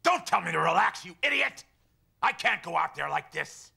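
An actor playing a volatile, desperate movie star in an animated series, with rough, snappish tough-guy talk. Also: high voice, moderate pace, heavily acted. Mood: angry. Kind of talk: dramatic animated series acting. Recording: loud music under the dialogue.

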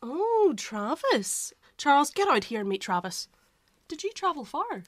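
Woman acting a doting older mum, slightly high, swooping intonation, chatty, insistent, dry close sound. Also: sing-song, a little slow, a bit bright, no echo, exaggerated voice. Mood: welcoming. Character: bustling, hospitable, doting older mother. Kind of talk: radio drama acting.

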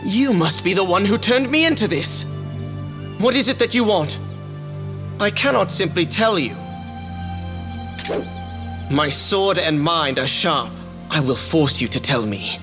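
An actor voicing an earnest young prince cursed into a small rabbit-eared creature, with formal, old-fashioned speech, in a game cutscene. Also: male voice, high voice, lively intonation, unhurried, pauses often. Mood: determined. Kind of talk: video game cutscene dubbing. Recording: game audio with music behind.

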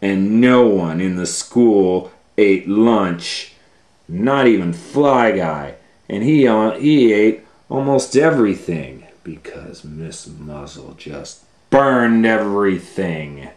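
A man reading a children's storybook aloud as host, with drawn-out emphatic phrasing and little asides. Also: fairly low pitch, animated, darkish tone. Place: indoor room, reading a book on a table. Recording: roomy, echoey indoor sound.

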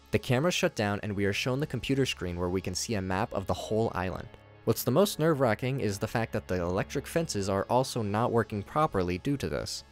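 Man narrating horror recaps over music. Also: fairly low pitch. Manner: casual, wry, swearing. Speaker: narrator of a video commentary.

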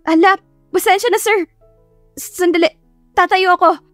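A woman's voice: extremely high pitch, fairly even intonation, normal speed, dry recording, soft background music, voice pushed up. A woman voicing a flustered, apologetic young female employee with a Filipino accent in an audio love story.

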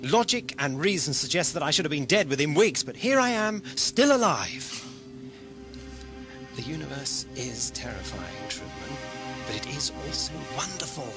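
A man playing a philosophical, defiant alien traveler in an audio drama, declaiming in a literary, sardonic way. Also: fairly high pitch. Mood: heated. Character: wandering alien Doctor, poetic, philosophical, defiant, male. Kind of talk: theatrical audio drama acting.

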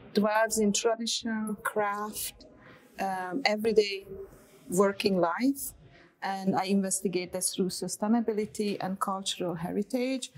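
Middle-aged woman, halting. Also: medium pitch. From Hungary. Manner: thoughtful, halting with frequent fillers. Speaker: artist and panel moderator. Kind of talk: relaxed panel conversation.

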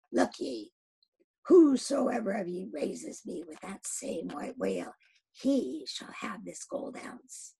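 A woman voicing an obsessed old one-legged sea captain who swings between hoarse whispers and loud shouts. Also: medium pitch, full character voice, rough recording. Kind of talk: audiobook reading.

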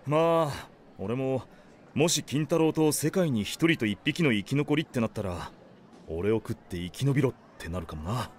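A man acting a sensible high school team captain in an anime radio drama, dryly retorting and scolding. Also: animated, moderate pace, darkish tone, slight background noise, lightly acted. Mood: thoughtful. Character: sensible, dry teenage male team captain.

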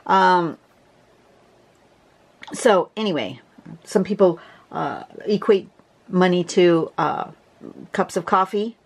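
Brief: woman teacher, lowish voice, stuffy, chatty and rambling. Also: slow pace, pauses often. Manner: chatty, restarts a lot, clears her throat. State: congested. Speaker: drawing teacher. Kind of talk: casual tutorial narration. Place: home desk, camera over the drawing surface.

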